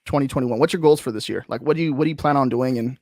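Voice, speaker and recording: A man with a gravelly, creaky voice at an ordinary pitch hosts a relaxed video-call interview. He rambles, restarts his sentences and leans on fillers. The sound is dry and close. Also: racing, dry recording. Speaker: host.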